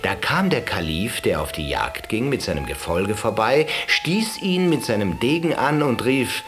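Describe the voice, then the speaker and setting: A man in a drama telling a patient a story, lively and cajoling. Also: lowish voice, unhurried, music in the background. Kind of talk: stage drama acting.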